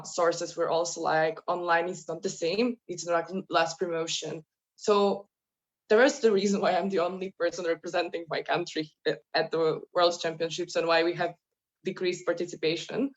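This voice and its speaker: A woman from Poland moderating an online panel, speaking haltingly and searching for words. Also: on the low side, fairly even intonation, dull audio.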